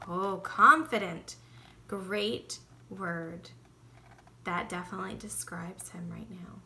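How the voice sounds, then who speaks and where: A woman teacher reading a storybook aloud expressively, with deliberate emphasis and asides to children. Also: on the low side, steady tone, creaky, noisy background.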